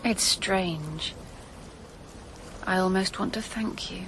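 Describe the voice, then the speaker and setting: A woman's voice: mid-range voice, slow pace, a bit bright, slight background noise. A woman acting in a radio drama as an earnest, grieving Victorian lady, determined and probing in her delivery. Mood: searching.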